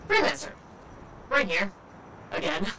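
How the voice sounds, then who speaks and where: An actress playing an earnest, socially awkward military officer in a video game, businesslike and a little stiff. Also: female voice, mid-range voice, huge pitch swings, unhurried, gravelly, sharp tone, a little hiss or hum, heavily acted. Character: awkward, earnest, brisk military Sentinel woman relaying missions.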